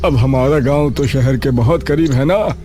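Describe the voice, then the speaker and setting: A man's voice: medium pitch, normal speed, dark tone, faint music behind, pitched above the performer's own voice, natural voice. A man voicing a welcoming older village headman in an audio horror story.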